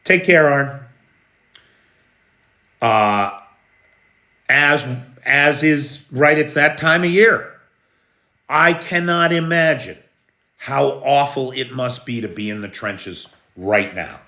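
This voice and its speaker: A man's voice: medium pitch, slow pace, long pauses, pushed and bright, reverberant. An American man hosting a live news stream, talking unhurriedly and answering viewers' comments. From United States. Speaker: news livestream host. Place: room in Kyiv during air alert.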